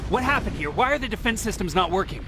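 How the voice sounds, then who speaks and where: An actor playing an urgent, commanding but steady resistance soldier in an action video game. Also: male voice, high-pitched, fast, soft background music, heavily acted. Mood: serious, concerned.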